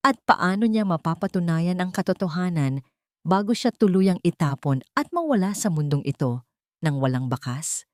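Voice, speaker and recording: A woman narrating a scripted dramatic story in an expressive, suspenseful way. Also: sits low, dry recording, clean studio sound.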